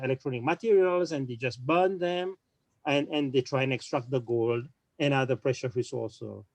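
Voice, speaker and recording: A man speaking on an online panel, talking quickly with frequent restarts and repeated words. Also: medium pitch, a little mellow, no room sound.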